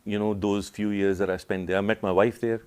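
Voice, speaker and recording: A middle-aged man from Pakistan with a low voice, talking as an interview guest. He is reflective and conversational, often pausing to find the right word. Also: level tone, a little mellow, smooth. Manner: thoughtful, hesitant, searching for words.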